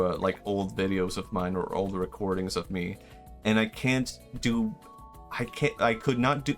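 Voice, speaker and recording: A young man from Sweden, a native Swedish speaker, chatting casually and rambling with self-deprecating laughs while streaming a game. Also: on the low side. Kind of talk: casual live-stream chat while gaming.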